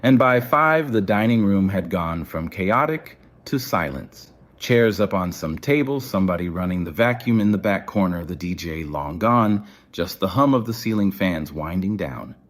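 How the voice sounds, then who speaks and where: A man's voice: low voice, expressive, normal speed, hardly any pauses. A man narrating a scripted audio story as its storyteller.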